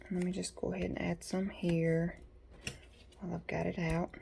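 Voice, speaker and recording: Gravelly, congested woman crafting. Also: mid-range voice, a little mellow. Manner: chatty, folksy, talks to viewers while working. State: congested from allergies. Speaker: craft tutorial host. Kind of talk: casual step-by-step craft tutorial. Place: home craft desk.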